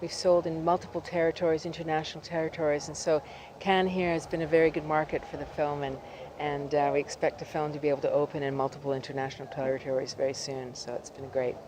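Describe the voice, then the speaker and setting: A woman's voice: sits low, level tone, fast talker, noisy background. A woman producer, speaking upbeat in an interview and reeling off figures. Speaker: film producer. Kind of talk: interview at a film market.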